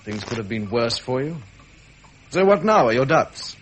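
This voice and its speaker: An actor playing a loyal gentleman courtier, earnest and formal, with an upper-class English accent. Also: mid-range voice, very animated, moderate pace, slight background noise, close to an everyday voice. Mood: sympathetic. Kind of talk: 1970s British period drama acting.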